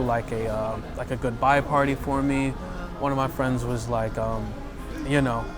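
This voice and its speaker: A young man as a guest in a casual conversation, relaxed and reflective, a little hesitant. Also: medium pitch. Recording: music playing loudly behind.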